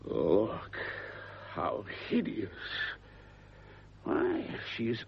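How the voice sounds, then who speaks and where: An actor playing an authoritative, excitable gentleman investigator with an RP English accent in a radio drama. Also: lowish voice, extremely slow, vocal fry, highs cut off, a little hiss or hum, exaggerated voice. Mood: fascinated.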